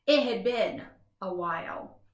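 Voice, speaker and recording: A woman reading a children's picture book aloud in an expressive, animated way with dramatic pauses. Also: medium pitch, echoey room, slight background noise. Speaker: storybook reader.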